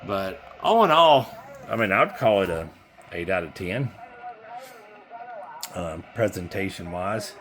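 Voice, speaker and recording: A man's voice: sits low, animated, slow, long pauses. A man giving a casual, hands-on product demo, talking informally and in a rambling way as a seller. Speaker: seller demonstrating used radio gear. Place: indoors at a desk with radio equipment. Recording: faint background noise.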